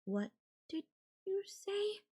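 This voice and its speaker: A woman's voice: very high voice, very animated, mellow, heavily acted. A woman playing a possessive, sarcastic and menacing yandere girlfriend in an audio roleplay, talking with sweet condescension. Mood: mocking, angry. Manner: slow, sarcastic, sweetly condescending with veiled threats. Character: possessive, menacing yandere girlfriend holding her partner captive. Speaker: voice actor.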